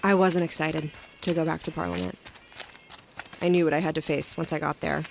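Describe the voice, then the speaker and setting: A woman's voice: deep voice, steady tone, brisk, creaky, a little hiss or hum. An actress playing a guarded, anxious woman field agent who narrates her story in an audio drama. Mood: anxious, reflective narration. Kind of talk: audio drama acting with first-person narration. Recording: music under the voice.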